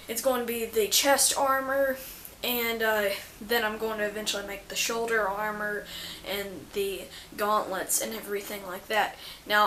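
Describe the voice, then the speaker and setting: The voice is medium in pitch, with an eager, casual show-and-tell delivery. It belongs to an enthusiastic cosplay vlogger. The recording rings with room echo and has a faint layer of background noise. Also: pushed and bright. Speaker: cosplay vlogger showing homemade armor. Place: indoor room, echoey.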